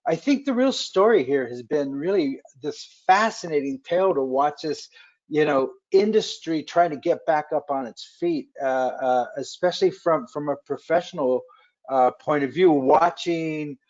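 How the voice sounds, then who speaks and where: Guy hosting a webcast, kinda high voice, lots of uh and restarts, muffled audio. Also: a little mellow. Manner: halting, many fillers and restarts. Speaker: webcast host. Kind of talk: casual interview chat.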